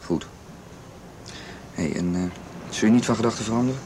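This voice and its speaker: A lightly noisy radio drama recording presents an earnest young man played by an actor as a protective friend. His voice is fairly low, and he is persistent and reassuring as he urges. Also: fairly quick, natural voice. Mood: relieved, practical. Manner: urging, insistent. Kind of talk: naturalistic radio drama acting.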